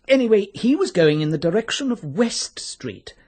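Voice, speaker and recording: Fussy, husky, swooping older English chemist. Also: male voice, high voice, very animated, normal speed, a little mellow, full character voice. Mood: eager, confiding. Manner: fussy, precise, hesitant openings. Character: chatty, self-important older male family chemist. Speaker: actor. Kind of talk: radio drama acting.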